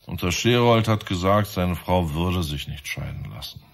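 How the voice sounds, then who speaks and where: A low male voice as a police inspector in a radio crime play. He is insistent and sardonic, pressing his suspects with pointed questions. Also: expressive, normal speed, gravelly, exaggerated voice. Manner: probing, sarcastic, insistent. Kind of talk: radio crime drama acting.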